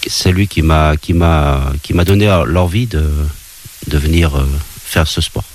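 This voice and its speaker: A man of fifty, interviewed as a guest in a room at an association's premises, answering in a hesitant way with many pauses and restarts. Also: extremely low pitch, a little hiss or hum. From Belgium. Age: 50s. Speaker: guest, water polo coach. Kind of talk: casual interview talk.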